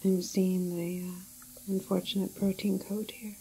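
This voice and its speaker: A woman doing an ASMR roleplay as a calm, apologetic doctor, speaking in whispers and hushed, halting explanations. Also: medium pitch, a little hiss or hum, low-quality recording. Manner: hesitant, halting, gentle clinical explaining. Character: calm, apologetic female doctor in a quarantine facility. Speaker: ASMR performer playing a doctor.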